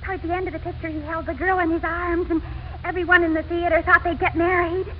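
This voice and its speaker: A woman's voice: extremely high pitch, level tone, fast. An actress playing a starry-eyed young woman who gushes breathlessly about romance, in 1940s radio sitcom style. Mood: swooning. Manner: breathless, swooning, dramatic gushing. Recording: noisy, muffled old radio recording.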